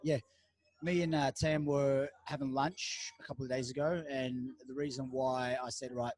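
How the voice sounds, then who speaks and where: A man hosts a relaxed podcast from a cafe table. His voice is a little husky and sits at an ordinary pitch. He wanders through his points with plenty of fillers and self-corrections. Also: normal speed. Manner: rambling, lots of fillers and restarts. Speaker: podcast host. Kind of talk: casual interview chat.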